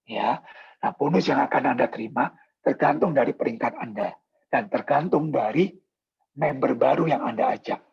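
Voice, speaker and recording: Hoarse man, gravelly husky voice. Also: medium pitch. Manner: polite, explanatory, many filler pauses. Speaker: product presenter. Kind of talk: sales presentation in a video meeting. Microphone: video call. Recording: dull lo-fi video call audio.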